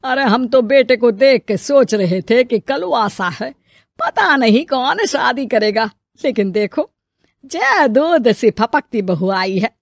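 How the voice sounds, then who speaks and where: Woman playing a high-voiced affectionate elderly mother-in-law. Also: animated, slow, vocal fry, no echo, studio quality, heavily acted. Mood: fussing. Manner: exclaiming, homely, fussy. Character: affectionate elderly mother-in-law, fussing over her household.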